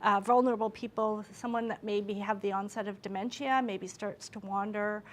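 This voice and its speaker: A woman police officer answering a question at a conference, speaking loudly and confidently and explaining things plainly. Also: medium pitch, steady tone, normal speed, a little hiss or hum.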